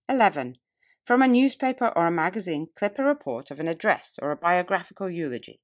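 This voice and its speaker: A woman narrating a nonfiction audiobook as scripted reading, in a deliberate, emphatic, oratorical way. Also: lowish voice. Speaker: audiobook reader. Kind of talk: scripted narration of a public-speaking textbook.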